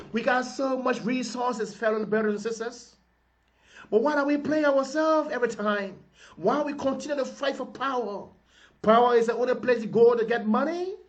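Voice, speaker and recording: High-voiced man preaching a fervent address. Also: fairly bright tone, dull audio. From Liberia. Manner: preacherly, repetitive rhetorical appeals. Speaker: political advocate addressing compatriots. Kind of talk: impassioned video address.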